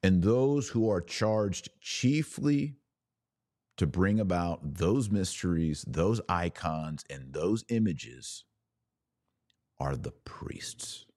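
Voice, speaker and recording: Slow, low-voiced preaching man. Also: animated, a little mellow, no room sound, clean studio sound. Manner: earnest, emphatic, deliberate phrasing with pauses. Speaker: religious podcast host. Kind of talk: preachy video commentary.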